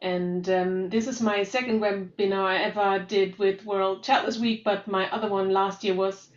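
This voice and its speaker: Woman, echoey room, dull lo-fi mic, slow and measured. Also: mid-range voice, steady tone. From Munich, Germany. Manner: measured, uses filler pauses. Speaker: science journalist, emotions trainer.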